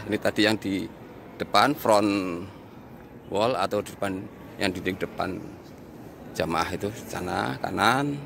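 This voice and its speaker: Guy with a low gravelly voice doing a walkaround review, kinda halting, clear background noise. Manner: halting, explanatory, counting and pointing things out, repeats himself. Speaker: audio reviewer and vlog host. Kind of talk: walk-through review commentary, improvised. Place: inside a large mosque, walking around.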